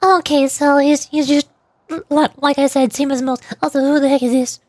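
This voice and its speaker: Female game streamer, high-pitched, playful and theatrical, music underneath. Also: flat delivery, normal speed, darkish tone, no echo. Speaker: streamer, VTuber. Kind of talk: live game stream, reading dialogue aloud and commenting.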